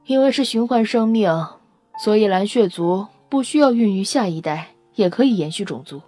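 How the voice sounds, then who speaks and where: Audio drama casting: solemn, wistful half-blood woman, voiced by an actress; soft mellow tone, measured expository delivery, music bed. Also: medium pitch, lively intonation, lightly acted. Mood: matter-of-fact lecturing. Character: dark-haired half-blood alien beauty, solemn, wistful expounder. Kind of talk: Chinese audio drama acting. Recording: music under the voice. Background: background music.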